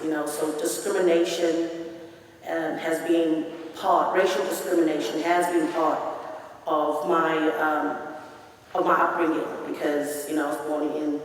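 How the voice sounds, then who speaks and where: A woman's voice: deep voice, level tone, measured pace, a little hiss or hum. Woman echoing in a hall. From South Africa. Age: 40s. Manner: earnest, emphatic retelling. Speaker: speaker at a public meeting. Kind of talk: personal testimony. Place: meeting hall. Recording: echoey hall, voice from far off.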